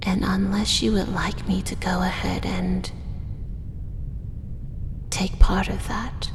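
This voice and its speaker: A woman's voice: mid-range voice, flat delivery, creaky, big-room sound, slight background noise. A woman whispering as a possessive spider-woman. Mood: whispery, possessive. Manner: soft, slow, whispered, doting yet possessive. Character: possessive female drider, spider-woman monster. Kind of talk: ASMR audio roleplay.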